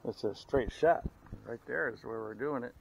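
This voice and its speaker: A man's voice: medium pitch, moderate pace, creaky, highs cut off. A middle-aged man talking casually to viewers outdoors in a steep forest as he drags a log, narrating as he works. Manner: chatty, narrates to viewers while hauling. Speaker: vlogger filming trail work. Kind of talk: casual chat while working. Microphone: body-worn action camera. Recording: outdoor camera mic with loud noise.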